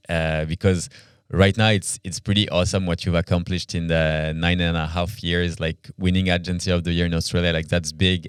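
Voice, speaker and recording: A man's voice: deep voice, clean tone, no room sound, studio quality. A man hosting a casual interview, speaking informally and encouragingly to his guest. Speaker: podcast host interviewing.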